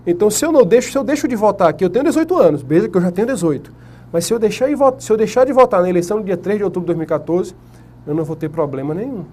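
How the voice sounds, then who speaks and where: A man's voice: high-pitched, lively intonation, racing, darkish tone. A man with a Brazilian Portuguese accent giving an exam-prep lecture at a whiteboard. He asks the class questions and answers them himself. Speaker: teacher.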